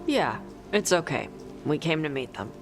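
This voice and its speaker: A terse, blunt young warrior from a fantasy video game. The voice sits a bit high and talks in short casual bursts, with music clearly playing behind. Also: male voice, very animated, moderate pace. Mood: subdued. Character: blunt, gruff young dragon-blooded Qunari warrior, exasperated.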